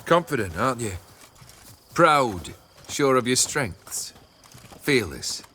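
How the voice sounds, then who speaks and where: An actor playing a fearless, brooding brigand lord in a video game, with grand, archaic, theatrical phrasing. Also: male voice, medium pitch, big pitch swings, takes it slowly, bright, forceful tone, a little hiss or hum, heavily acted. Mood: defiant, probing. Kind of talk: dramatic video game voice acting.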